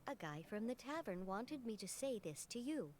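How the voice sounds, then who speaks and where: High-pitched, polite spirit helper. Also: female voice, big pitch swings, moderate pace, darkish tone. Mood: helpful. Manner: formal, courteous, explanatory. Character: polite female teapot spirit, helpful, formal and composed. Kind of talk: video game voice acting.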